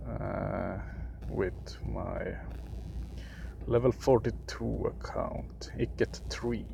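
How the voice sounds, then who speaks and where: A young man from Sweden with a Swedish accent, for whom English is a third language, giving casual gameplay commentary in a halting way, often searching for words. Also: on the low side, steady tone, creaky, background noise. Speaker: gaming video creator.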